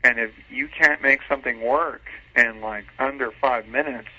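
Guy being interviewed, mid voice, casual n a bit halting, muffled phone sound. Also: medium pitch, bright, forceful tone. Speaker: interview guest, radio drama producer. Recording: dull phone-line sound.

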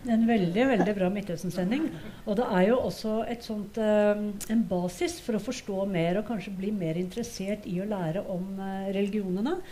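A woman's voice: mid-range voice, hardly any pauses, mellow, slight background noise. A middle-aged woman with a Norwegian accent giving conversational comments on a theatre stage. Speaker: panel speaker.

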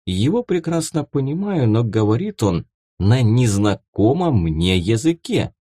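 A man's voice: medium pitch, very animated, a little slow, warm tone, dry recording. A man reading first-person fiction as a scripted audiobook narration.

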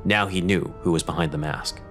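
Deep-voiced male narrator over music. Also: a little fast, a bit bright. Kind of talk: scripted dramatic narration.

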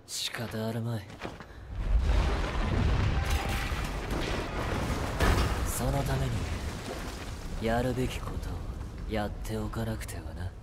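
An actor playing a cool, brooding, poetry-quoting young man in a video game, measured, terse and solemn. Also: lowish voice, heavy background noise, close to an everyday voice. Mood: aloof. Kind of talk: dubbed video game cutscene acting.

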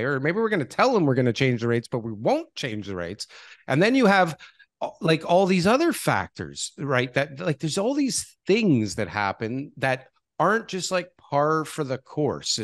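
A man's voice: mid-range voice, no room sound, clean studio sound. Brief: male co-host, medium register, swinging intonation, blunt opinionated chat. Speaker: podcast co-host. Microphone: podcast microphone on desk.

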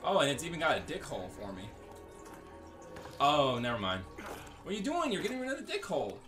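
A man's voice: fairly high pitch, huge pitch swings, brisk, a bit bright, soft background music. A man giving casual gameplay commentary in a joking, sarcastic, crude way. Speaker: gaming streamer.

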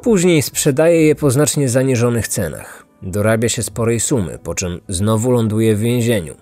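A man narrating a scripted true-crime podcast in measured, suspenseful phrases. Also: medium pitch, animated, mellow. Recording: dry studio voice over music. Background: background music.

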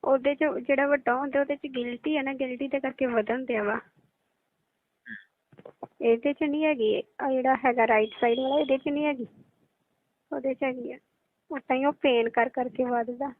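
High-voiced Punjabi woman on a phone line. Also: steady tone, moderate pace, pauses often, slight background noise. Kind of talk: intimate casual phone chat. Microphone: telephone. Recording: narrowband tinny phone-call audio.

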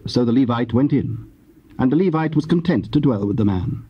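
Male narrator, measured scripture reading, mid-pitched and husky, muffled cassette sound. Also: animated. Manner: measured, formal. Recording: dull old cassette audio.

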